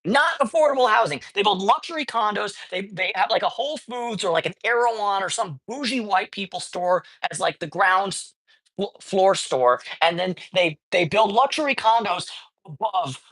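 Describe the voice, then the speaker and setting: A man's voice: sits high, lively intonation, normal speed, fairly bright tone. A man holds forth on his livestream in a loose, rambling way. He curses freely and trips over his own sentences, circling back to restart his point. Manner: ranting, profane, rambling with many restarts. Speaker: livestreamer and cat rescuer. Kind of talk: casual livestream chat. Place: cat colony.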